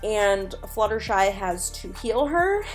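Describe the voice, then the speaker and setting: A woman's voice: mid-range voice, very animated, slow pace, soft background music, low-quality recording. A woman giving casual, chatty commentary as a video host, speaking with enthusiasm and emphasis. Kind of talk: casual commentary on an online animated horror series.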